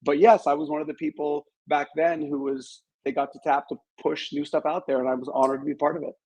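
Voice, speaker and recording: A man's voice: on the high side, flat delivery, fast, darkish tone, breathy edge, rough recording. Reflective man telling stories in an interview. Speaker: interview guest, author. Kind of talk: conversational storytelling.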